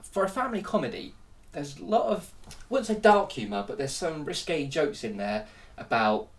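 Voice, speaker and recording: A man's voice: a little high, animated, normal speed, distant mic. A man hosting a casual film-review podcast. He is jokey and teasing, and stuffy with a cold. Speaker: podcast host.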